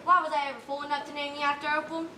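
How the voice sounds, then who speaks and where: In an echoey, noisy room, a woman plays a stern, weary country mother on stage. Her voice is high, and her talk is folksy, impatient and scolding. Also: little pitch movement, racing, sharp tone. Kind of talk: school stage play acting.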